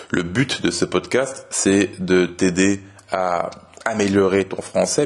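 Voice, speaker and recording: A young man from France in his twenties hosts a podcast, talking slowly and clearly for learners in a relaxed, steady way with frequent hesitations. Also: low voice. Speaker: podcast host.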